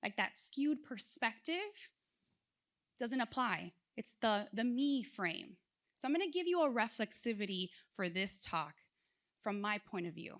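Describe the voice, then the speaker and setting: Woman conference speaker from South Florida, lively, engaging, rather high voice. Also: measured pace. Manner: animated, engages audience with questions. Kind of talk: motivational keynote talk.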